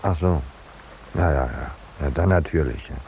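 An actor playing a peaceable, unworldly landscape painter in a 1950s German radio play, speaking in a dry, polite, reserved way. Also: male voice, extremely low pitch, normal speed, gravelly, mellow. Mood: polite, detached. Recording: noisy 1950s radio drama recording.

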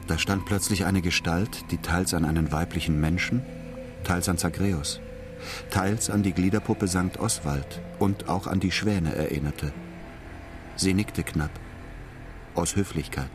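A man reading scripted literary narration for a radio play in a measured, solemn manner. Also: low-pitched, steady tone, over music.

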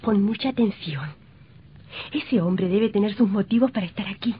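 A woman's voice: medium pitch, moderate pace, husky. A woman playing an anxious, suspicious, insistent wife in a vintage radio drama. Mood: irritated. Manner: fretful, insistent. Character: suspicious, anxious, nagging wife convinced of danger. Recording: dull lo-fi old radio recording.

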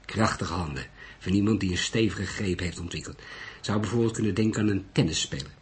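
A man reading an audiobook, voicing a laconic old male coroner with a crackly, deliberate delivery. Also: deep voice, husky. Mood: dry, laconic. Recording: noisy recording.

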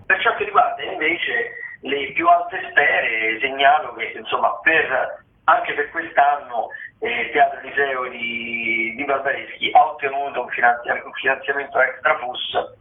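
A man's voice: medium pitch, lively intonation, unhurried, creaky, a little hiss or hum. A man, a theatre critic giving a discursive spoken briefing on radio. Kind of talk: spoken cultural news briefing. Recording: roomy, tinny phone line.

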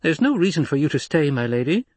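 A man voicing a mild, reasonable household doctor in an audiobook, measured and deferential. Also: on the high side, sing-song, darkish tone, no room sound, pitched above the performer's own voice. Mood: calm, coaxing. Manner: measured, coaxing. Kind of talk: audiobook character acting.

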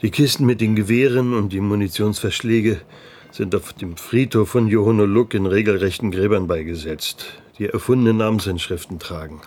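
Man as a guarded, low-voiced village headman. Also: normal speed, a little mellow, close to an everyday voice. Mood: solemn, confiding. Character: guarded village headman confiding a dangerous secret. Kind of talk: radio drama acting. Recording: faint ambient noise.

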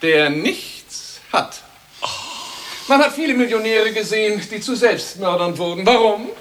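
An actor playing a placid academician who spouts banal truths and drily needles, in an absurdist radio comedy. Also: male voice, high voice, lively intonation, unhurried, fairly bright tone, a little hiss or hum. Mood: philosophising. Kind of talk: absurdist comic radio play acting.

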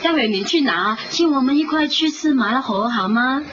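Pouty, lively, high-voiced young woman. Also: brisk, music in the background. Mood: coaxing. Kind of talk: radio drama acting. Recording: muffled 1990s radio recording, noisy ambience.

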